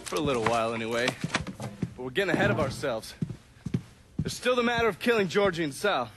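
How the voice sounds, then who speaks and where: Casting: breezy, scheming American fixer, highish voice, very animated intonation, video game drama over music. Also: male voice, brisk, heavy background noise. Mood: wry scheming. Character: sardonic, scheming male fixer who relishes revenge. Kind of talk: dramatic game cutscene acting. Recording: music under the voice.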